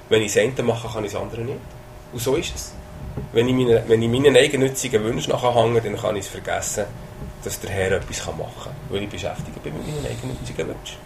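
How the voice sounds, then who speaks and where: A man's voice: fairly low pitch, creaky, a little hiss or hum, poor audio. A man with a Swiss German accent preaching a sermon, talking in a conversational way with hesitations and sudden emphasis. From Switzerland.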